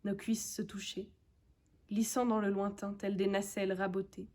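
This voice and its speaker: A young woman, a conservatory student, reciting literary poetry in measured, deliberate phrases. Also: medium pitch, little pitch movement, darkish tone. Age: young adult.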